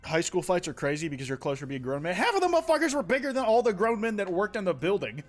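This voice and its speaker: Young man, streamer, slightly high voice, rambling, profane, faint music behind. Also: very animated, quick pace. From United States. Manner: rambling, profane, many restarts. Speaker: streamer reading viewer confessions. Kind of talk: casual live-stream chat.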